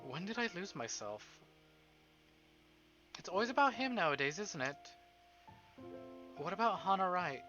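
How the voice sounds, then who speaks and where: A man voicing the inner monologue of a weary, wistful high-society wife in a visual novel, formal and introspective. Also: sing-song, normal speed, long pauses, pushed and bright, lo-fi sound, full character voice. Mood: wistful, reflective. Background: game music.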